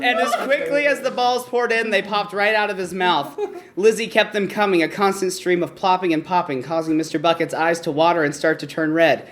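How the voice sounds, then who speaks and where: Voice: medium pitch, fairly even intonation, brisk, a crowd in the background. A performer reading a bawdy comic story aloud in front of an audience. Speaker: story reader. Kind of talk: comedic erotic story reading before an audience. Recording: noisy live room recording.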